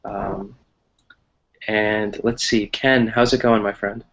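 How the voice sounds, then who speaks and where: A man's voice: lowish voice, level tone, takes it slowly, vocal fry, fairly bright tone. American man teaching, slightly muffled sound. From United States. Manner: encouraging, often checks with "right?". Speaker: English teacher. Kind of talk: online grammar lesson, conversational explaining. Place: online video class.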